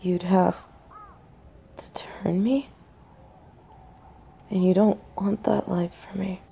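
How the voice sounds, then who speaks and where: A woman's voice: mid-range voice, flat delivery, dark tone, full character voice. A woman playing a tender, nervous young biker girlfriend in an intimate roleplay audio drama, speaking hesitantly and breathily. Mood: subdued, worried. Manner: hesitant, breathy, halting delivery with whispers.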